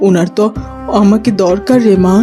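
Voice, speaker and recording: A woman playing a middle-aged queen mother in a fairytale cartoon, gentle, consoling and advising. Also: medium pitch, normal speed, natural voice. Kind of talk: cartoon voice acting. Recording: music behind the voice.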